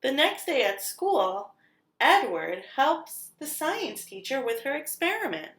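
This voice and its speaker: A woman reads a children's picture book aloud as a storyteller, with expressive delivery and deliberate, dramatic emphasis on key words. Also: high voice. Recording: roomy, echoing sound.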